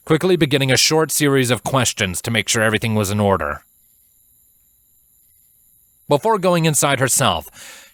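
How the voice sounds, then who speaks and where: A man reading a fantasy story as a narrator, in a measured, dramatic way. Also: fairly low pitch, sharp tone, dry recording. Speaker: fan fiction narrator.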